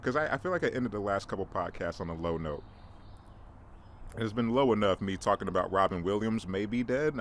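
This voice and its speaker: A man's voice: medium pitch, a little hiss or hum. A man hosting a podcast, chatting casually and rambling to the camera outdoors, often restarting and joking. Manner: rambling, self-correcting, joking. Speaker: podcast host. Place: outdoors near a bird feeder. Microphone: camera mic.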